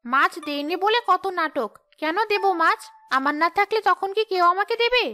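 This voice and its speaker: High, scornful stingy she-ghost voiced by a woman. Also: animated, normal speed, bright, forceful tone, full character voice. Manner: scolding, dismissive, smug. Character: stingy, scornful female ghost guarding her fish. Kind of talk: cartoon ghost-story dialogue.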